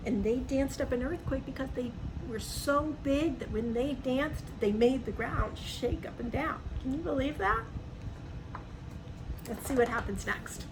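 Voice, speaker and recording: A woman reading a picture book aloud to young children, adding chatty asides, simple explanations and questions to the listener. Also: on the high side. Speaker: storybook reader for young children. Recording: clearly noisy background.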